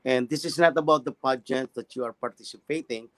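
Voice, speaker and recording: A man hosts an interview, his English halting and roundabout, his words full of warm praise for his guest. His voice sits at a middle pitch, close and dry in the recording. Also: dry recording. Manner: halting non-native English, effusive praise. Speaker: interview host. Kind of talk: interview questions and comments.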